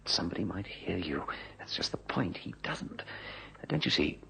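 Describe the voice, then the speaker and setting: An actor playing a scheming, smooth-talking businessman in a radio drama, with a glib, wheedling and condescending manner. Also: low voice, very animated, normal speed, pushed and bright, in a whisper, exaggerated voice. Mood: conspiratorial. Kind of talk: old-style radio drama acting.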